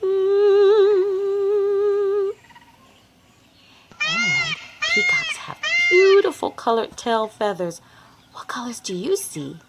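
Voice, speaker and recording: A woman's voice: extremely high pitch, sing-song, very slow, pauses often, very noisy. A woman reading a picture book aloud to young children, speaking expressively and addressing them directly as host. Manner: acts out animal sounds. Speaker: host and reader of a children's read-along.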